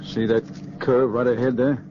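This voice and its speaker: An actor playing a vengeful, boastful American gangster in a 1940s radio crime drama. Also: male voice, mid-range voice, level tone, moderate pace, lightly acted. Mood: brooding hatred. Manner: tough, boastful, menacing. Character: vengeful, cop-hating American gangster jailbreaker. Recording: noisy, muffled 1940s radio recording.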